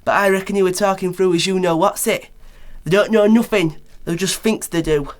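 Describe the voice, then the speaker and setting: A man's voice: high voice, fairly even intonation, brisk, lightly acted. Rambling, coarse bloke, acted by a man. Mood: gossipy, blunt. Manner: rambling, colloquial, full of tag questions. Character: Thrombotic Bert, coarse chatty working-class man, gossipy joker. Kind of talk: radio play character acting.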